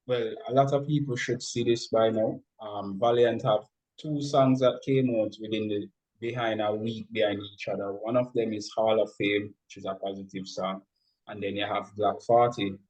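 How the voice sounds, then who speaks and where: A man with a Jamaican accent talking as an interview guest, giving advice in a halting way with repeated words. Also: lowish voice, steady tone, a little mellow, low-quality recording. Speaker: guest, music studio professional.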